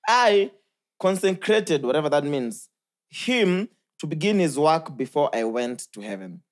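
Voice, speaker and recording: A man's voice: fairly high pitch, very animated, a little slow. A young man with a Kenyan accent chatting casually as a podcast co-host, mixing in Swahili and slang, close on a studio mic. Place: small furnished living-room style studio. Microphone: close podcast mic on boom arm.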